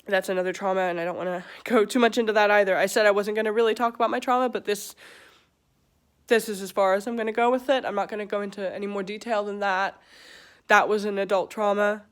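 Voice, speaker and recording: A woman in her twenties from England who speaks with an American accent, talking hesitantly with many pauses and fillers in a personal confessional vlog. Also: mid-range voice, clear, resonant tone. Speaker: vlogger.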